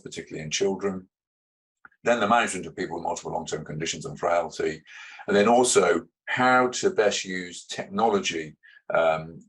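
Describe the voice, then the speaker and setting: A man speaking as a panelist in a discussion, halting often with ums and restarts as he makes his points. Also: low-pitched, a bit bright, slightly rough, low-quality recording. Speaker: panelist and stroke researcher.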